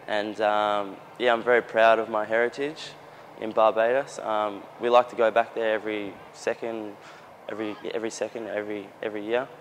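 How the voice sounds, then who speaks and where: Young man, Australian accent, low voice, flat delivery, earnest, repeats himself, faint traffic outside. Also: normal speed, low-quality recording. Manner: earnest, repeats words while searching for phrasing. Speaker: young footballer. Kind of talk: casual interview answers. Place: outdoors near a gym with traffic.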